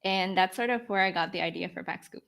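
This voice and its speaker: Bright-voiced young woman from the Philippines. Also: lowish voice, fast, vocal fry. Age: around 20. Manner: explanatory, talks through rhetorical questions and examples. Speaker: startup founder being interviewed. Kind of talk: conversational podcast interview.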